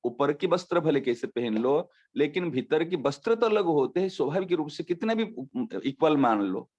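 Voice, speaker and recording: A man giving a devotional discourse as a spiritual teacher, explaining with everyday examples and slowly repeating his key phrases. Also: a little high, expressive, a little mellow. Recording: dull lo-fi recording.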